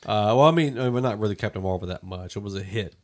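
A man with a fairly low voice hosts a casual movie discussion. He talks conversationally and states his opinions plainly, as a podcast host among co-hosts. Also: fast talker, darkish tone.

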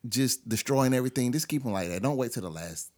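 A man's voice: mid-range voice, lively intonation, fast, a little mellow. A man on a podcast panel chatting casually about a film in short, informal, emphatic phrases. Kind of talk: casual film review discussion.

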